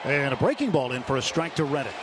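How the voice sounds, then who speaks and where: Male baseball announcer over loud crowd noise. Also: fast talker. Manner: excited on big plays, steady between pitches. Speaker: play-by-play announcer. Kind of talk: radio baseball commentary. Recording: noisy ballpark broadcast.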